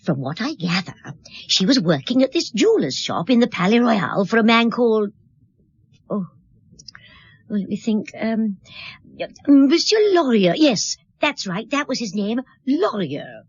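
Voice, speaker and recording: An actress playing a disapproving, gossipy spinster neighbour in a radio drama, fussy, confiding and hesitant. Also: female voice, fairly high pitch, sing-song, a little slow, breathy edge, dry recording, lo-fi sound, exaggerated voice.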